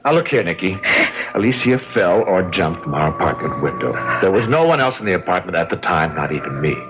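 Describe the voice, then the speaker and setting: Accused widower, very low voice, extremely animated pitch, controlled indignation, muffled radio drama. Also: male voice, brisk. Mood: indignant. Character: smooth widower brother-in-law, indignant under murder accusation. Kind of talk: old-time radio mystery acting. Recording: muffled old radio broadcast, music.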